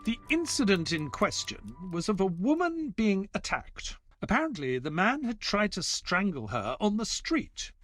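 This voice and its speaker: A man playing a formal, gentlemanly doctor who narrates his memoir as dramatic storytelling in an audio play. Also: high-pitched, very animated, a little slow, hardly any pauses, no echo, soft background music, exaggerated voice. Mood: dramatic narration.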